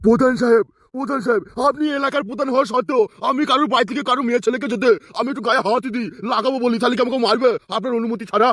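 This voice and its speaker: A man voicing a cowardly, grovelling henchman in a cartoon, with a whiny, hurried, complaining way of talking. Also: medium pitch, fairly even intonation, fairly bright tone, no room sound, studio quality, pitched above the performer's own voice, natural voice. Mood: whining. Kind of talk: adult cartoon comedy acting.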